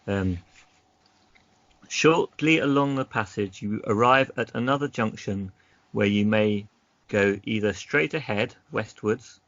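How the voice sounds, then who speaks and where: A man acting as game master, reading gamebook narration aloud in an unhurried way and mixing it with casual chat. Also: lowish voice, fairly bright tone, no room sound. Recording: dull lo-fi recording.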